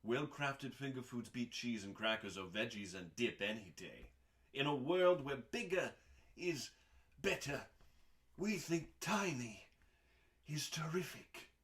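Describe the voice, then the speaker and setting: A man with a slow, measured delivery reads aloud like an audiobook narrator. He treats plain instructional text as drama, pausing deliberately and leaning on chosen words. His pitch sits in an average male range. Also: lively intonation, a bit bright. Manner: theatrical, deliberate, with pauses and emphasis. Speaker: host reading cookbooks aloud. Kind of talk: dramatic audiobook-style reading of recipes.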